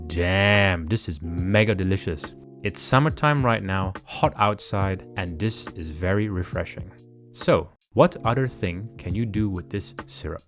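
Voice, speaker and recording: A man giving measured, precise step-by-step narration for a home cooking tutorial. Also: on the low side. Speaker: cooking channel host. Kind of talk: calm instructional cooking voice-over. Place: home kitchen.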